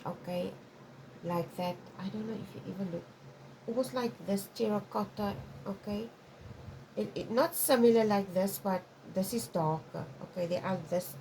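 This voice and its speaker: A woman's voice: lowish voice, vocal fry, darkish tone, slight background noise, poor audio. A woman whose first language is Afrikaans, giving a rambling intuitive tarot reading and often checking in with "okay?". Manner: rambling, many restarts and frequent "okay?". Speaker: tarot reader.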